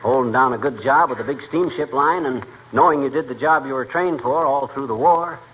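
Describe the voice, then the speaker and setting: A man's voice: medium pitch, a little fast, lightly acted. A man playing a boastful middle-aged husband who rambles through folksy tall sailing yarns in 1940s radio comedy style. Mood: folksy, opinionated. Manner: blustering, rambling, interrupts with folksy grammar. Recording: muffled, hissy old radio broadcast.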